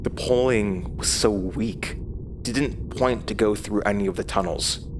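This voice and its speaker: An actor playing a haunted man, narrating a horror audio drama in the first person with grave, measured hesitation. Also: fairly low pitch, background noise, natural voice. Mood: haunted, tense. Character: haunted man recounting his horrifying past. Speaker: narrator and lead character.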